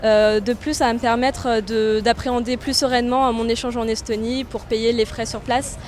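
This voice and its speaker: A woman's voice: fairly high pitch, steady tone, moderate pace, slight background noise. A woman in her twenties, a business school student answering interview questions with occasional fillers. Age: 20s.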